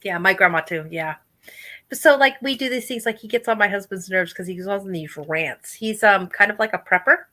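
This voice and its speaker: A woman with a high, bright, ringing voice talks in a relaxed group chat. She is blunt and animated, and she swears freely as she goes. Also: fairly quick. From Oregon.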